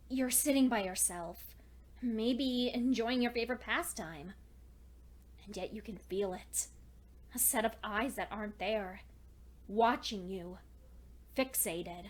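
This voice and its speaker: A lonely, studious pony mare acted by a woman dictates her journal in a high, feminine voice. She is anxious yet clinging to hope, speaking earnestly and deliberately, with dramatic pauses suited to a dark fan fiction reading. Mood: unsettled, paranoid.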